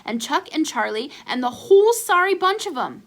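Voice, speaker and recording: A woman's voice: sits high, very animated, moderate pace, a bit bright, pitched above the performer's own voice. A woman voicing a feisty, scrappy boy who hollers and grumbles indignantly in a children's storybook. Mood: grumbling, annoyed. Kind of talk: children's storybook read-aloud character.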